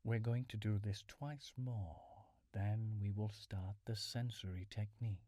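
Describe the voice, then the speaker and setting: A man leading a calm guided mindfulness meditation in a soothing, measured, instructional way, with soft breathy phrase endings. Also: on the low side, no echo, studio quality. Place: small furnished room at home.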